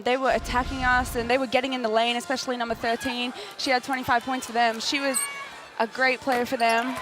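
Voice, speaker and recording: Young woman player in postgame interview, highish voice, lots of ums, faint music. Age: young adult. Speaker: basketball player being interviewed.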